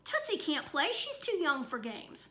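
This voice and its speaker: Worried, scolding mom figure, bright and lively, as a woman reads her. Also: high voice, unhurried, pitched above the performer's own voice, heavily acted. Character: anxious, stern young mother.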